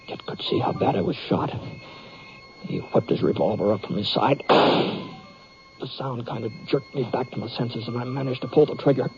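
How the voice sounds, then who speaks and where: Halting, mellow wounded cowboy, muffled radio. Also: male voice, mid-range voice. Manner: halting, folksy drawn-out storytelling. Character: wounded, plain-spoken western gunfighter, weary but dogged. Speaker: lead actor and narrator of a western radio drama. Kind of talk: old-time radio western acting, first-person narration. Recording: muffled old radio recording with hiss.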